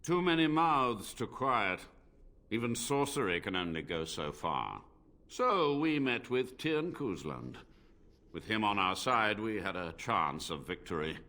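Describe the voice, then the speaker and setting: An actor playing an ancient, unrepentant blood mage in a fantasy video game, speaking in a grave, formal, theatrical way. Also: male voice, lowish voice, big pitch swings, measured pace, pushed and bright, full character voice.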